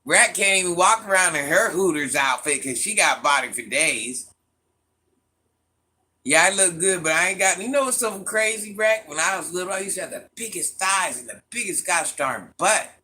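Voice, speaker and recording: Sharp-voiced woman teasing her viewers. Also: lowish voice, lively intonation, normal speed. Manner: teasing, talks straight to viewers by name, laughs often; calls herself Granny. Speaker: livestream host reading viewer comments. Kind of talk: casual livestream chat.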